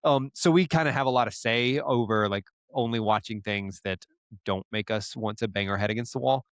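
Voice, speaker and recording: A man speaking as a guest on a podcast, talking in a relaxed, rambling way with frequent restarts. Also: fairly low pitch, fairly quick, clear, resonant tone, no room sound, clean studio sound. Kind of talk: casual podcast interview.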